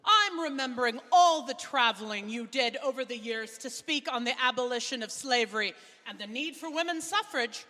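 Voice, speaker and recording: Bright, high-voiced dignified suffragist played by a woman. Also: expressive, normal speed, few pauses. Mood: fond, reminiscing. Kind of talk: readers theater historical drama. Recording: echoey hall with long reverb.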